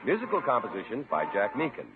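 Muffled vintage radio with music behind. A male announcer reads scripted copy in 1950s style, his pitch rising and falling in an animated way. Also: fast.

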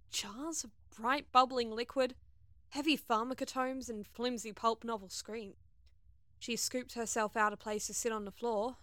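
A woman acting as a sardonic young space heroine in an audio drama. Her voice is fairly high and bright, recorded close and dry. She is expressive and easily exasperated, telling her own adventure with a wry edge. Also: no echo. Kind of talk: audio drama acting with first-person narration.

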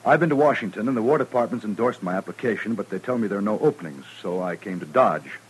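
A man's voice: fairly low pitch, expressive, fast talker, husky, rough recording. A man acting in a 1950s radio western as an earnest, self-assured former army officer, eager, insistent and formal in manner. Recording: vintage old-time radio recording.

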